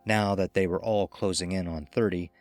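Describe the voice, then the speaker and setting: A man's voice: deep voice, fairly even intonation, moderate pace, darkish tone, no room sound, soft background music. A man reading a scripted horror story as its narrator. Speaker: audiobook narrator.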